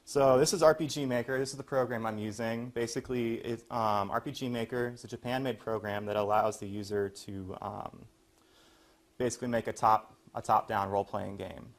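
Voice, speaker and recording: A man's voice: mid-range voice, steady tone, fairly quick. A young American man giving an informal explanatory presentation as a college student. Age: young adult.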